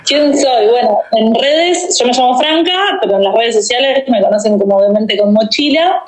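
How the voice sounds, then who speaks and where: A young woman with a Uruguayan accent, chatting as a guest about her travels in a lively, storytelling way. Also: fairly high pitch, moderate pace. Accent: Uruguayan Spanish. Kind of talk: casual interview talk. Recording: echoey room.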